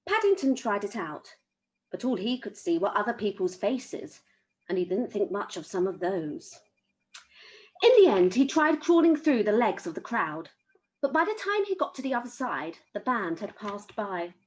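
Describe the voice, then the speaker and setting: A woman's voice: mid-range voice, very animated, normal speed, poor audio. A woman reading a children's story aloud as an amateur storyteller.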